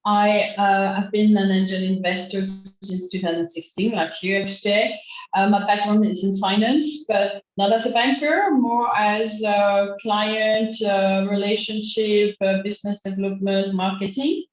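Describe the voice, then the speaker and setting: A woman from France on a video call, speaking haltingly with frequent fillers. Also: medium pitch, steady tone. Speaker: panelist, angel investor. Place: small room indoors. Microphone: laptop webcam on a video call. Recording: dull echoey webcam audio.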